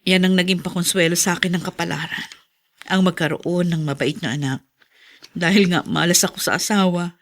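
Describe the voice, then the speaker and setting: A woman's voice: fairly low pitch, steady tone, fairly quick, natural voice. An actress playing a bitter, resigned, ailing mother in a radio drama, world-weary and emphatic. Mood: rueful, resigned. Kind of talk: Filipino radio drama acting.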